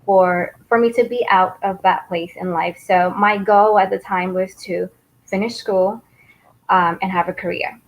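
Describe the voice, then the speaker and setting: A woman's voice: medium pitch, fairly even intonation, a little slow, rough recording. A woman raised in Taiwan whose first language is Mandarin, answering interview questions in an earnest way and laughing easily. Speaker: guest, business founder.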